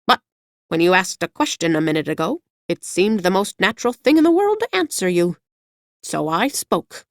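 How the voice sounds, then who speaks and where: A woman voicing a fussy, proper yellow hen in a storybook reading, with a prim, confiding manner. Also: on the low side, sing-song, moderate pace, no echo, clean studio sound. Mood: prim chatter. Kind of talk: audiobook character voice.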